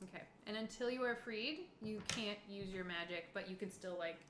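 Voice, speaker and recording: A woman's voice: medium pitch, moderate pace, fairly bright tone. A woman running a tabletop game as game master, casually explaining rules and narrating in an informal way. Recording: echoey room with faint background noise.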